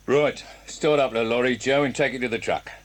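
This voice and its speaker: An actor playing a brusque, scheming male gang boss in an audio drama, gruff and commanding. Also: medium pitch, fairly even intonation, a little fast, background noise, close to an everyday voice. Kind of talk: 1980s audio drama acting.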